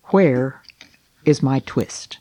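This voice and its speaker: A woman's voice: extremely low pitch, drawn-out words, mellow, heavily acted. A woman reading as a fretful poor old tailor. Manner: mumbling, despairing.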